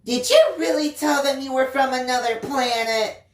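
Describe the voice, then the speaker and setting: A woman's voice: high voice, normal speed, echoey room, distant mic, voice pushed up, lightly acted. A woman voicing a bratty, teasing little girl in a storybook reading, with a sing-song, mocking delivery. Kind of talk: dramatised audiobook reading.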